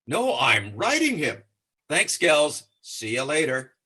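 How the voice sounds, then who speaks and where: An actor playing an affable, oblivious bachelor jockey who drops in as the neighbor in an old-time radio comedy. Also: male voice, fairly high pitch, wildly swooping pitch, slow pace, sharp tone, slightly rough, heavily acted. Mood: breezy, affable.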